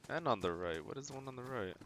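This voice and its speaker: A man's voice: mid-range voice, expressive, fast, a bit bright, slight background noise. A man giving casual live commentary on a video game, thinking aloud as he decides what to do. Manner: talks through decisions as if thinking aloud.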